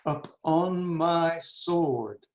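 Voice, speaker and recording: Man playing a brooding, very slow Shakespearean prince. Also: fairly high pitch, darkish tone. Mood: grave, insistent. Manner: declamatory, halting, dwelling on words. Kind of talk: Shakespearean dramatic reading. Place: indoors on a video call. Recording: roomy, echoing, muffled video call audio.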